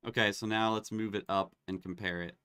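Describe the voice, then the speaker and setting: A man hosting a live online demo, chatting casually and getting excited as he tests tools. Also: on the low side, brisk, clear, resonant tone, no echo, studio quality. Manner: excitable, laughs and exclaims while testing tools.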